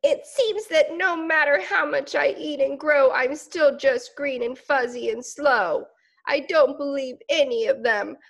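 A woman's voice: high-pitched, measured pace, vocal fry, pitched above the performer's own voice. A woman voicing a whiny little caterpillar girl. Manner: whiny, wistful, pleading.

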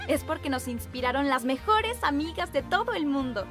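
An excitable, artistic young girl for a children's cartoon. The pitch is very high and animated, the delivery bubbly and playful. Music plays clearly behind. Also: normal speed. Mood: pleased. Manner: bubbly, expressive.

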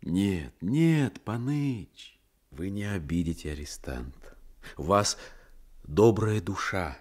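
A humble, anxious prisoner in an old Soviet radio play. His Ukrainian-accented voice sits very low and swings widely with feeling, husky and unhurried. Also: animated, darkish tone, full character voice. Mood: cautious, hesitant. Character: downtrodden prisoner, a peasant soldier, humble and anxious. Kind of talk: Soviet radio drama acting.

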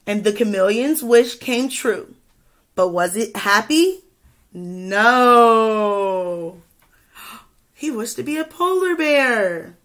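A young woman teacher reading a picture book aloud to children, expressively, pausing to ask questions and add asides. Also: a little high, lively intonation, fairly bright tone. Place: small indoor room with classroom wall charts.

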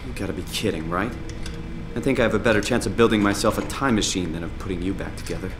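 A man's voice: lowish voice, fast, noisy background, lightly acted. Man playing a weary, despairing diving-suit survivor, echoey. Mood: reluctant sarcasm. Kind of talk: video game voice acting.

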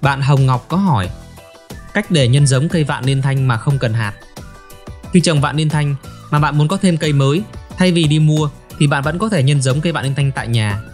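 Slightly high-voiced man, instructional narration. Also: normal speed, darkish tone, faint music behind. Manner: orderly, instructional. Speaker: host of a gardening question segment. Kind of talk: scripted explanatory narration.

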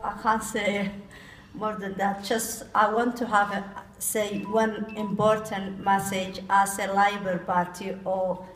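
Slow flat-voiced woman giving a speech. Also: mid-range voice. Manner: halting, repeated restarts. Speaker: event speaker. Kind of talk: speech to an audience. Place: banquet hall. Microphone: handheld mic. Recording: roomy hall with faint noise.

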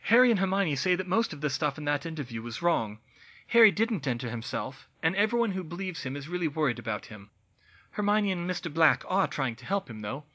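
A man plays a shy young schoolgirl in a storybook, pitched up and bright. She is earnest and reassuring, as if writing home to family. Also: sing-song, fairly quick, lo-fi sound, exaggerated voice.

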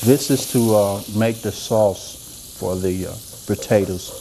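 A middle-aged man, a soft-spoken chef talking casually through a cooking demonstration in a studio kitchen. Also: on the low side, slow pace, background noise. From Georgia, US. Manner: soft-spoken, rambling and homey, talks while working. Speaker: chef and cooking show host. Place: indoor demo kitchen set.

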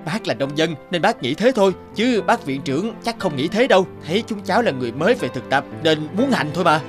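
A man's voice: fairly high pitch, expressive, fast talker, close to an everyday voice. A man voicing a naive young agriculture trainee in a cartoon, given to complaining. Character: eager, grumbling young agriculture student trainee. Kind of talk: dubbed cartoon dialogue. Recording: music behind the voice. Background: music.

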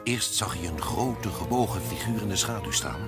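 A man's voice: fairly low pitch, expressive, moderate pace, over music. A man narrating a scripted fairy tale as a storyteller.

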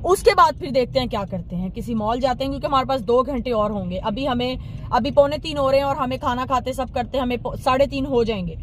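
A woman's voice: high-pitched, fast. A young adult woman with a Pakistani accent, chatting casually to viewers as a vlogger. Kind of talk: casual vlog chat. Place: inside a car, on city streets. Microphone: phone at arm's length. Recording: loud car and traffic noise.

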